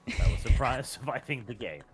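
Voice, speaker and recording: A Norwegian man speaking British-sounding English, chatting casually on a game stream with wry, mock-serious, halting comic timing. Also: medium pitch, very animated, crowd noise. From Norway. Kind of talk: casual chat. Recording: music behind the voice. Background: music.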